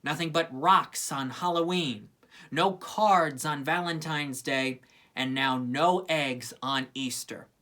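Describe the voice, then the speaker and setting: A woman's voice: low-pitched, slow, fairly bright tone. A woman reading a children's story aloud with plenty of expression. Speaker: storybook reader.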